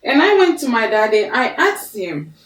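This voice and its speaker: A woman from Congo telling her life story to camera, in a slow, deliberate and expressive way, standing in a studio. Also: fairly high pitch. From Congo DRC. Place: studio before a green screen. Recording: echoey room, mic at a distance.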